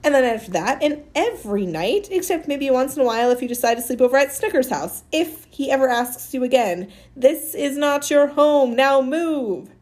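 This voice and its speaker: Storybook woman acting a young girl: indignant, commanding, grumbly, high and very animated. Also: moderate pace, few pauses, slightly rough, exaggerated voice. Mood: furious, commanding. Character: bossy, furious, scolding young girl.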